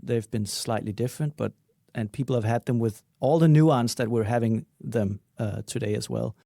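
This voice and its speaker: A man's voice: medium pitch, steady tone, normal speed, vocal fry, warm tone, no echo, clean studio sound. A man in a casual podcast discussion, speaking in a musing, tentative way. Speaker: podcast panelist.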